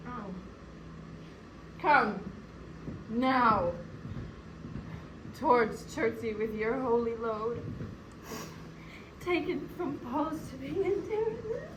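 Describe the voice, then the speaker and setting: A woman's voice: a little high, lively intonation. A young woman acting a grieving, vengeful widowed noblewoman in a declamatory, emotional classical Shakespearean stage style. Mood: mournful. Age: young adult. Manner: declamatory, emotional, slow deliberate delivery. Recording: roomy, distant mic, noisy room.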